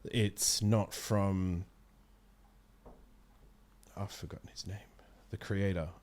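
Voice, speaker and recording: Low-voiced man in casual conversation. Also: fairly even intonation, slow, darkish tone. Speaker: interview guest, amateur voice actor. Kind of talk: casual chat about video games. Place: small indoor streaming room. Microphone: close studio microphone.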